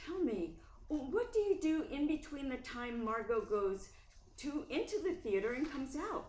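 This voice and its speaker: Actress as emphatic, easily exasperated writer's wife for radio play; swooping intonation, roomy recording with faint noise. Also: female voice, high voice, unhurried. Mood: brisk, curious. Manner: animated, emphatic. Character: brisk, warm-hearted playwright's wife, easily exasperated. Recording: echoey room, faint noise.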